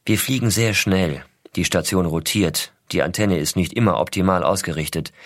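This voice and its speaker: A man plays an astronaut father narrating letters to his small son. His low voice is measured, reflective and affectionate, recorded dry and close. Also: fairly bright tone, no echo. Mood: matter-of-fact. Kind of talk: scripted first-person letter narration.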